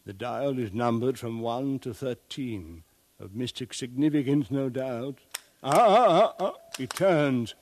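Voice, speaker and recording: A solemn far-future archaeologist in a dry 1950s radio play. He speaks slowly at a middling pitch, pondering like a pedantic, self-important scholar. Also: expressive, a little mellow, no room sound. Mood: musing, puzzled. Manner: pompous, musing, pedantic. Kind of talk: 1950s radio drama acting.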